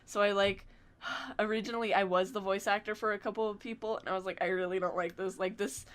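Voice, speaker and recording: A woman's voice: mid-range voice, steady tone, fast talker, a bit bright, clean tone. A woman guest on a podcast, telling stories in casual, slangy, joking talk. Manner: slangy, jokey storytelling. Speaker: podcast guest, dubbing group organiser. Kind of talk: casual chat.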